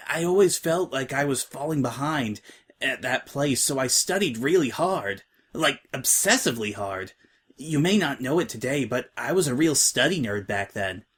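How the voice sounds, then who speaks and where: A man's voice: medium pitch, a bit bright, husky. A man voicing a brash, blunt young mare DJ who teases and wisecracks.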